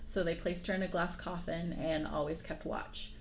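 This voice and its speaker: A woman's voice: on the low side, fairly even intonation, normal speed, vocal fry. Woman casually storytelling in an echoey room. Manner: informal, slangy, joking asides. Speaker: podcast host. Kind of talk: casual retelling of a dark fairy tale. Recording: roomy echo with faint background noise.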